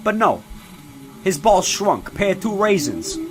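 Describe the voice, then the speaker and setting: A man's voice: sits high, very animated, a little slow, voice pushed up. A man doing a foul-mouthed old mobster. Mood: ranting. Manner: profane, belittling, rambling with sudden loud outbursts. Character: crude old Italian-American mobster grandpa, foul-mouthed bully. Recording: music playing clearly behind.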